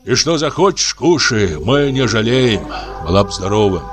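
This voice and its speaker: A man voicing a soft-hearted old grandfather who speaks gently and slowly. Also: lowish voice, very animated, soft background music. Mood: tender. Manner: gentle, tender, slow.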